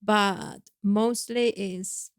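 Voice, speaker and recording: Dry-sounding woman, reflective and halting. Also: mid-range voice, fairly even intonation, clean tone, dry recording. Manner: reflective, halting, with many restarts. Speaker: guest singer. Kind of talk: podcast conversation.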